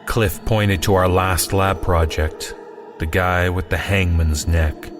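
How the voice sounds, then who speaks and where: A man's voice: deep voice, steady tone, takes it slowly, background noise. A man narrating a scripted first-person horror story in a conversational way with wry asides. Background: music.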